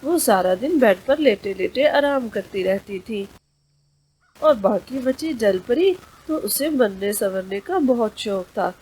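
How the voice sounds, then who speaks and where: Female children's storyteller, high register, very measured pace, noisy recording. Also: animated, darkish tone. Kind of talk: scripted children's story narration.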